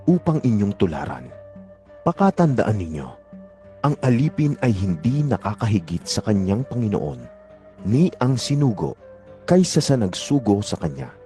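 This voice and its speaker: Guy playing a solemn male teacher in a bible audio drama, low mellow voice, lots of rise and fall, earnest.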